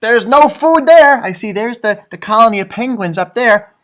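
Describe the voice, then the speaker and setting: A man reading a children's picture book aloud in an expressive, teacherly way, with casual chatty asides. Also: very high voice, normal speed. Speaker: storybook reader, elementary school teacher.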